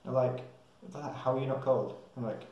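A man's voice: medium pitch, steady tone, normal speed, dark tone, dull audio. Young Englishman in a very echoey room. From England. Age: young adult. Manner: hesitant, thinking aloud. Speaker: travel vlogger. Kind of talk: casual rambling chat to camera. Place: small tiled room indoors. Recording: very echoey small hard-walled room.

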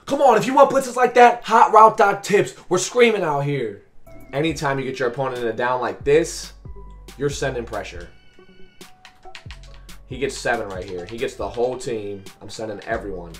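A man in his twenties with a raspy, hoarse voice, giving live gameplay commentary as a coach in short, repeated, slangy phrases. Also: medium pitch, moderate pace, faint music behind. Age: 20s. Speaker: gaming video host and coach. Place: small bedroom, indoors.

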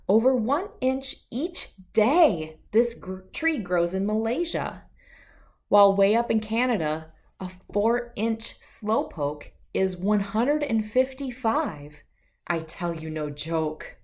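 A woman's voice: mid-range voice, darkish tone. A middle-aged woman reading a rhyming children's picture book aloud in a sing-song way that follows the verse.

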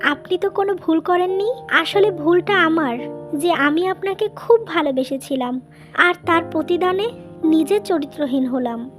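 A wronged young wife from a cartoon family drama, played by a woman. Her voice is extremely high, wounded yet firm and proud. Soft music runs underneath. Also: moderate pace. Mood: wounded, resolute. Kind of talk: dubbed cartoon drama dialogue.

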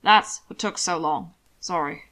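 A woman's voice: fairly low pitch, measured pace, a bit bright, voice pushed down. Woman voicing cheeky loyal male aide Zeller. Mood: matter-of-fact.

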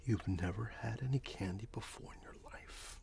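A middle-aged man playing a friendly, wistful candy shop owner in a gentle, hesitant ASMR roleplay whisper. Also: on the low side, normal speed, creaky.

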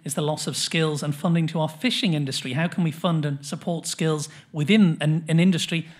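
A middle-aged man chairs a panel Q&A from a lectern in a large conference hall. His voice sits a little high, and he reads questions briskly, hurried by the clock. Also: fairly even intonation. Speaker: panel moderator. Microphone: lectern microphone.

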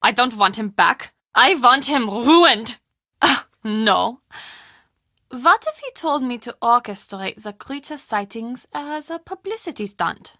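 A woman's voice: a little high, lively intonation, slow, sharp tone, dry recording, studio quality, exaggerated voice. A woman acting a theatrical castle woman in scripted mystery game dialogue, with an emphatic, dramatic delivery. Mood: bitter, menacing, then scheming. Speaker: voice actor in a mystery adventure game.

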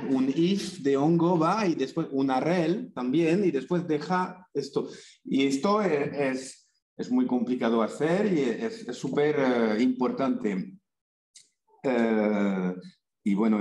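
French-accented male farmer, mid-pitched, presenting over a video call, hesitant, many fillers. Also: a little mellow. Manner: hesitant, many fillers and restarts, joking asides. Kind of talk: informal presentation with slides.

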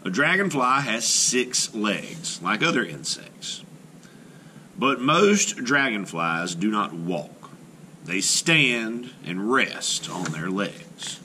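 Male teacher reading a picture book aloud at a slow, lively pace, with a bright tone and faint room hiss. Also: fairly low pitch. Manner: slow, careful reading with rising emphasis. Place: indoors at a table, camera over the book.

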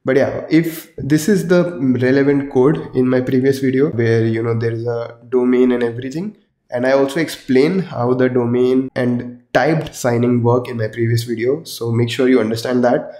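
A man's voice: mid-range voice, steady tone, normal speed, dark tone, reverberant. A young man with a sore throat, hosting a casual coding tutorial and explaining each step conversationally from a small room at his desk. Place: small indoor room with wardrobe.

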